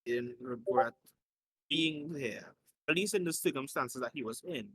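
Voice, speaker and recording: A man's voice: medium pitch, moderate pace, fairly bright tone, husky, no echo, rough recording. A man reading a story aloud in an informal way, as a host who slips in his own asides and corrections.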